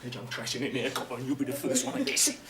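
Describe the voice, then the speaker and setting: An actor playing a desperate, volatile gunman who snarls and shouts, and stammers when scared. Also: mid-range voice, sing-song, quick pace, crowd noise, natural voice. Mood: snarling, hostile. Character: cornered desperate male gunman, volatile and hunted.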